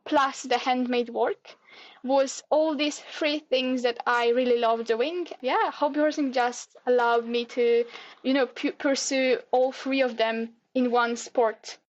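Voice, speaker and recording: A woman's voice: sits high, fairly even intonation, measured pace. A Polish woman in her twenties with a Polish accent, chatting as a guest in an interview. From Poland. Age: 20s. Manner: friendly, chatty with frequent fillers. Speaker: guest, hobby horse competitor. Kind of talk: casual interview. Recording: dull lo-fi audio.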